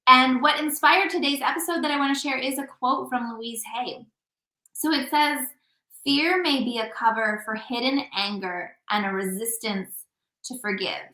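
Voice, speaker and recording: A woman's voice: high voice, normal speed, sharp tone. A woman hosting a relationship podcast as an intimacy coach, talking casually and guiding the talk, a little scattered. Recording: echoey room.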